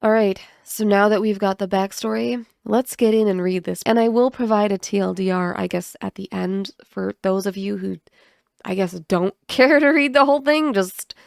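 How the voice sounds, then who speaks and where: Congested woman with a gravelly voice. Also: medium pitch, moderate pace, dry recording, studio quality. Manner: chatty, self-mocking, animated asides. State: sick, congested, sucking on a cough drop. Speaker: gaming video host. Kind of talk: casual commentary and reading aloud.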